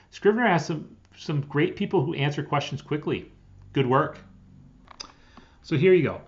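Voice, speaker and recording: A man with a mid-pitched voice talks through a product review in an informal, conversational way. He sounds like an everyday reviewer chatting to his viewers. The recording is slightly dull and lo-fi. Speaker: software reviewer. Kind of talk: casual scripted-outline product review.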